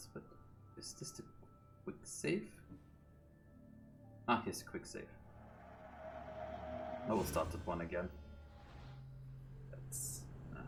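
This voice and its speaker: A man from Austria with an Austrian German accent, a native German speaker, chatting casually over his gameplay in a relaxed, rambling way. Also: low-pitched, gravelly. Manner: relaxed, rambling, musing aloud. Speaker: let's play host.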